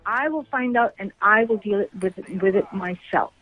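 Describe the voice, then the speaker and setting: A woman's voice: medium pitch, normal speed, faint music behind. A middle-aged woman speaking on a phone call in a firm, questioning manner, over a slightly noisy line. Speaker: prank call target, parent. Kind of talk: phone conversation. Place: on a telephone call.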